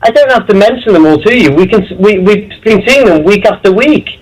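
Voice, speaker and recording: A man's voice: high-pitched, animated, a little fast, husky, rough recording. A man from Leeds, calling in to a radio show and speaking emphatically and indignantly. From England (Leeds). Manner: emphatic, indignant, uses stock phrases. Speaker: caller. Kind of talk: phone-in call.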